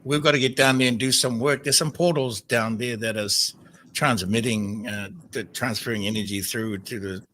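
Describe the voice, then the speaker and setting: A man hosting a spiritual call-in show, greeting callers as brother and answering in a searching, halting way. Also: mid-range voice, fairly even intonation, slight background noise. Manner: warm address, calls people brother and mother. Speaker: host.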